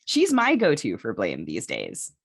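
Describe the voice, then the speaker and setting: A woman's voice: very deep voice, huge pitch swings, a little slow, vocal fry, breathy edge. An American woman co-hosting a podcast with casual, opinionated political talk and a wry, playfully sarcastic manner. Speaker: podcast host.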